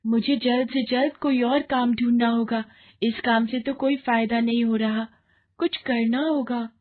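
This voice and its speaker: A woman's voice: a little high, fairly even intonation, unhurried, narrow, tinny audio, pitched above the performer's own voice, lightly acted. A woman voicing a poor, hard-working orphan girl in a cartoon story, earnest and pleading. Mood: determined. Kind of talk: Hindi moral cartoon story acting.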